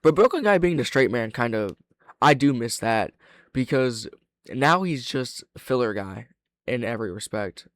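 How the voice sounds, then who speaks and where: A man's voice: medium pitch, animated, normal speed, dry recording. An opinionated man hosting a casual reaction video, swearing freely and speaking close to the mic.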